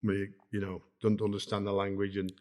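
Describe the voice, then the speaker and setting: A man's voice: fairly low pitch, monotone, quick pace, darkish tone. An older man from England co-hosting a podcast, rambling and leaning on "you know what I mean". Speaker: podcast co-host, former football manager. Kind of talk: casual podcast chat.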